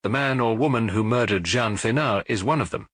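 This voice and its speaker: A man's voice: mid-range voice, fairly quick, fairly bright tone, no echo, clean studio sound, lightly acted. A man voicing a self-satisfied, eager police inspector in an audiobook, with a pompous, formal, explanatory manner. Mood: self-important. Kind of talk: audiobook character voice.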